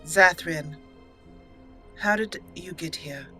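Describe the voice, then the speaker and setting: A woman's voice: lowish voice, takes it slowly, sharp tone, soft background music. A woman voicing her young female elf mage hero, speaking firmly and earnestly.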